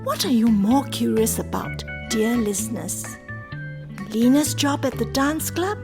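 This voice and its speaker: A woman serves as narrator of a radio play, addressing listeners in a scripted storytelling style. Her voice is fairly high, and music plays clearly behind her. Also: big pitch swings, measured pace.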